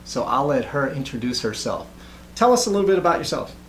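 A man's voice: medium pitch, big pitch swings, fairly quick, reverberant, a little hiss or hum, low-quality recording. A man hosting a casual interview show, talking hesitantly with many uhs and restarts. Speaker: show host.

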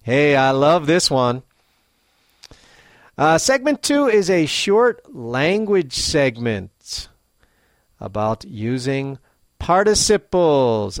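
A man hosting a podcast for English learners, speaking slowly and clearly with frequent fillers. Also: medium pitch, no room sound, studio quality. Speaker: podcast host and English teacher.

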